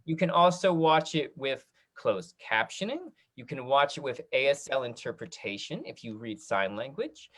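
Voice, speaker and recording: A host of a casual online talkback, speaking in a halting, conversational way like a drama teacher. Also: mid-range voice, lively intonation, a bit bright.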